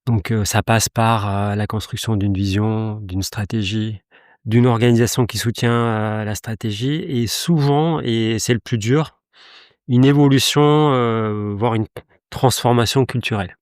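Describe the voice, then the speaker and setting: A man's voice: lowish voice, fairly even intonation, measured pace, no echo, studio quality. A man in his fifties, originally from Iran, interviewed as a company director on a podcast, speaking reflectively with many hesitations and restarts. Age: 50s.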